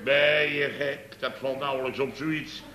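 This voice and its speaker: Blustering Dutch boss, forceful bright voice. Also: male voice, medium pitch, level tone, unhurried, creaky. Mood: indignant. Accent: Netherlands Dutch. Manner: exasperated, sputtering, long-winded comic grumbling. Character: blustering, indignant male boss of a building firm. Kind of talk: Dutch radio comedy acting around 1970. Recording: hissy old radio recording.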